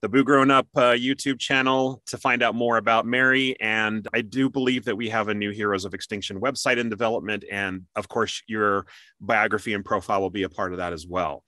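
A man's voice: lowish voice, fairly even intonation, brisk, sharp tone, no room sound. A man hosting an interview show in an upbeat, chatty, promotional way.

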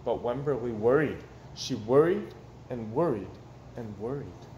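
Animated man reading a picture book aloud. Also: medium pitch. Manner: animated, dramatic pauses and emphasis. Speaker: storyteller reading aloud to children. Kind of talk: expressive children's picture-book read-aloud. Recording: roomy echo, some background noise.

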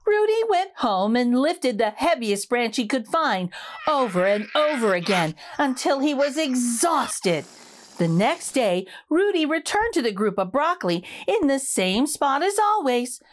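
A woman reading a story aloud for young children in an expressive, emphatic way. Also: high voice, unhurried. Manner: animated, emphatic.

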